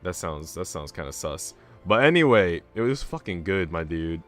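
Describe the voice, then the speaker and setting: A man's voice: low-pitched, big pitch swings, normal speed, fairly bright tone. A man livestreaming in casual chat, talking in a slangy, profane, bro-ish way. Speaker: streamer. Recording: music behind the voice.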